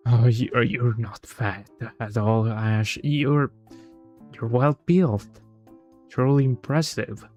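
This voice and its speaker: A man voicing a soft-spoken, frail male visual novel companion, hesitant and placating. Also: mid-range voice, fairly even intonation, takes it slowly, highs cut off, soft background music, natural voice. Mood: flustered, placating. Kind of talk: visual novel read-aloud.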